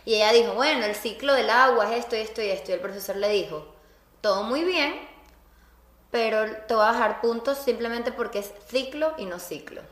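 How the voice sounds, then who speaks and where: A woman with a Venezuelan accent hosts a relaxed group conversation, telling anecdotes and acting out what others said. Her voice sits a little high. The recording rings with room echo. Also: fairly quick. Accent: Venezuelan Spanish. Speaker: podcast host. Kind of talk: casual group chat.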